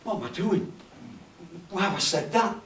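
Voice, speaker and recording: A man acting a nervous, halting bloke, echoey. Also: sits high, expressive, a bit bright, slight background noise. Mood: flustered, panicky. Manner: stammering, halting, self-deprecating. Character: nervous, lonely, unassuming man babbling before performing. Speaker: stage actor. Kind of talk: theatrical comic monologue. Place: cafe bar performance space. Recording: echoey room, distant camera microphone.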